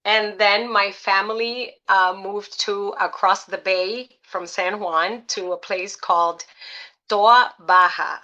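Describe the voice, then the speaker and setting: A woman's voice: medium pitch, steady tone, takes it slowly, bright, forceful tone, dull audio. A middle-aged woman from Puerto Rico, a salsa singer chatting as an interview guest, upbeat and full of uh and um fillers. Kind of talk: casual interview chat.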